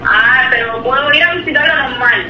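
A woman's voice: sits high, moderate pace, dull audio, noisy background. A woman on a speakerphone call, answering compliantly and mostly briefly. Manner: compliant, short replies. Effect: telephone on speaker. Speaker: prank call victim. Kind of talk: phone conversation. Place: heard through a phone on a table. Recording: distant speakerphone call in echoey room.

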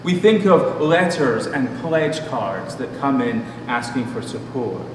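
A middle-aged man preaching a sermon as a pastor, in a measured, deliberate manner with pauses. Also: mid-range voice, very animated. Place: church room at a pulpit. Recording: distant mic, echoey hall, noisy background.

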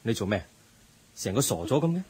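Radio play: a kindly, earnest teacher, Hong Kong Cantonese, husky voice with animated lilt. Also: male voice, fairly low pitch, fairly quick, full character voice. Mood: lecturing. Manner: lecturing, earnest. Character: patient middle-aged teacher, moralizing and kind.

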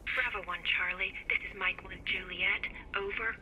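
A woman's voice: mid-range voice, fairly even intonation, moderate pace, pushed and bright. A woman playing a terse, businesslike military radio operator in a video game, heard through a radio filter. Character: brisk female military radio operator, secretly helpful. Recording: noisy military radio link.

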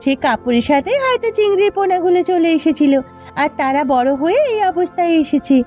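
A woman's voice: very high-pitched, animated, brisk, darkish tone. A woman voicing an optimistic, bossy village wife in a cartoon, speaking in a coaxing, emphatic way over music. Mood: thoughtful. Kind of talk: Bengali cartoon dubbing. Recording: music under the voice.

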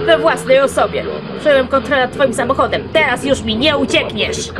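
A woman's voice: sits high, expressive, a little fast, background noise. A woman voice acting a dramatic, menacing anime cartoon villain in a fan dub. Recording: music behind the voice.

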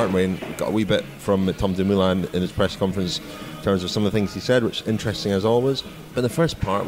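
A British man hosting a sports podcast in casual, chatty style. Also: fairly low pitch. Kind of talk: casual chat. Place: bar of a sports complex. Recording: busy public venue, background chatter.

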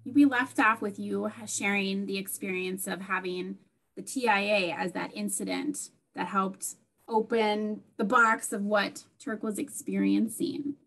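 A young woman with a mid-pitched voice and a touch of vocal fry moderates a panel discussion over a video call. She leads steadily with questions. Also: fairly even intonation, unhurried, a bit bright, poor audio.

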